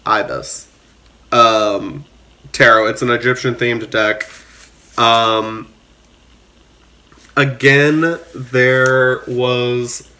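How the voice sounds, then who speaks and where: Guy doing a casual unscripted review, talks real slow, kinda halting, medium pitch. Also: bright, forceful tone. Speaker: host of a tarot deck review channel.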